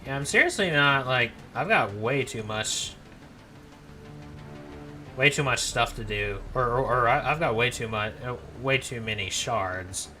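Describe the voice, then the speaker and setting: A man talks through a game in a loose, rambling way, often restarting his sentences. His voice is very bright and sharp, at a middle pitch. Faint music plays behind him. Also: animated, measured pace. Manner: rambling, frequent restarts and fillers. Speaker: gameplay commentator. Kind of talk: casual let's play commentary.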